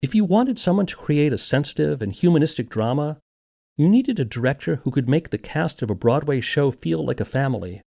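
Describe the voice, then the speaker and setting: Wry, animated male narrator. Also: on the high side, fairly quick, vocal fry. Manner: conversational, wry. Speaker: podcast host and narrator. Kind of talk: scripted documentary narration.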